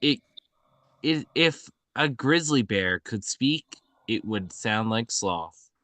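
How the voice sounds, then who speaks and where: A man reading out screenplay action at a table read in a halting way, often stumbling and correcting himself. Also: mid-range voice, animated, bright, forceful tone, no echo. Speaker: reader of stage directions. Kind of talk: scripted narration at a table read.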